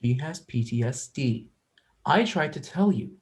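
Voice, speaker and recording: A woman's voice: a bass voice, lively intonation, unhurried, a little mellow. A young woman playing a guarded, dry-humored researcher in a dramatic script reading. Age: young adult.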